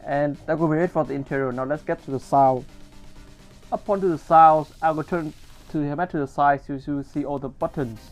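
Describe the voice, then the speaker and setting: A man's voice: on the high side, fairly even intonation, a little fast, faint music behind. A man giving a casual product demonstration as a toy reviewer, explaining things step by step.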